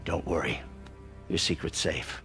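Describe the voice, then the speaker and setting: A middle-aged man playing a kindly, knowing scientist mentor in a video game, with a gravelly voice. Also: rumbling low, a little slow, pushed and bright, faint music behind, exaggerated voice. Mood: reassuring.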